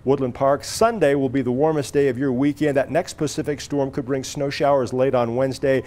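A middle-aged man delivers a TV weather forecast from a studio. His voice sits at a medium pitch, and his manner is friendly, folksy and conversational. He is picked up cleanly on a lapel mic. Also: steady tone, a little fast, darkish tone. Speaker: TV meteorologist. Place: TV studio in front of a weather wall.

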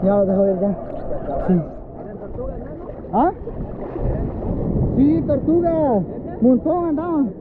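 A man's voice: very high-pitched, expressive, slow, pauses often. A man chatting casually as he films out in the sea, often in short exclamations. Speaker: vlogger filming. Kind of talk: casual chat while filming. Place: outdoors in the sea off a beach. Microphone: handheld action camera in the water. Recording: muffled action camera, noisy sea water.

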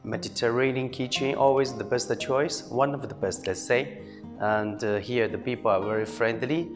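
A man from China giving casual interview answers as a language student, pausing with fillers. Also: medium pitch, long reverb tail, over music.

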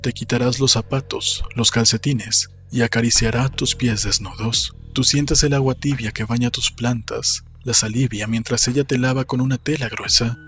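A man's voice: mid-range voice, steady tone, normal speed, few pauses. Solemn man narrating over music. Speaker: audiobook narrator. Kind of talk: scripted literary narration. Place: small room indoors. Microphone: desk microphone close to the mouth, headphones. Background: soft music.

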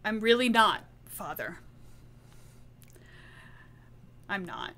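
A woman playing a defiant, sharp-witted, bitter ex-wife with dry self-assurance in a roleplay game. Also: medium pitch, very animated, normal speed. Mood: bitter, resigned.

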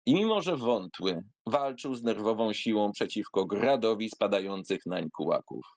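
A man giving a theatrical reading of a literary memoir, in an expressive, wry, conversational storytelling manner. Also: on the low side, normal speed, dry recording. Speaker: narrator reading a literary essay aloud.

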